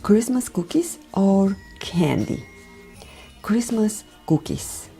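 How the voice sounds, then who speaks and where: A woman from Romania with a Romanian accent, speaking a gentle, careful voiceover for her makeup tutorial, often dropping near a whisper. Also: medium pitch, lively intonation, takes it slowly, vocal fry. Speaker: beauty vlogger. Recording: voiceover with background music.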